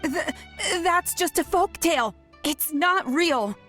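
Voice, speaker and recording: A nervous young villager in a video game. Her voice is high and uneasy, stumbling over words as she doubts what she hears. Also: lively intonation, takes it slowly, a bit bright, faint music behind, full character voice. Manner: stammering, uneasy. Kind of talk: video game character dialogue.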